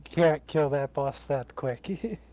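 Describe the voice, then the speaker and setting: A man chatting casually with a streamer, easygoing and low-key, with the odd chuckle. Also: slow, dark tone, muffled recording. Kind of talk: casual chat.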